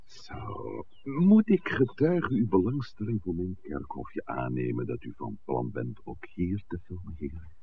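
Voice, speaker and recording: A courteous, evasive village priest in a 1960s radio play. His voice is extremely low and gravelly, with formal, measured phrasing. The recording is thin and band-limited, with noise underneath. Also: very animated, narrow, tinny audio, full character voice. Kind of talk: 1960s radio drama acting.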